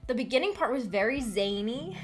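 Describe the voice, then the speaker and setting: Female book club stream host, higher-pitched, informal and giggly, restarts phrases, faint music underneath. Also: animated, normal speed. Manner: giggly, breaks into small laughs often. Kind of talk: casual livestream discussion with chat.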